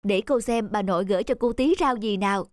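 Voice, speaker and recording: A woman playing a kind, caring young girlfriend in a cartoon dub, chatting gently. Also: mid-range voice, a little fast, no room sound, studio quality, close to an everyday voice. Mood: cheerful, caring.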